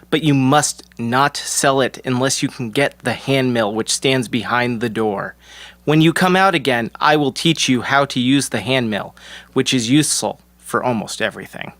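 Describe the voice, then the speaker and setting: An actor playing a helpful, knowing old woodcutter in an audio folktale, kindly and instructive. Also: male voice, mid-range voice, fairly bright tone, dry recording, natural voice. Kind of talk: audio storybook character acting.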